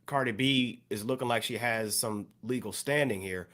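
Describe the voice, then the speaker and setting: A man speaks as one of a podcast crew. He explains his view in a careful, halting way, keeping it conversational. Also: mid-range voice, a crowd in the background. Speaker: podcast co-host. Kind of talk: casual panel discussion.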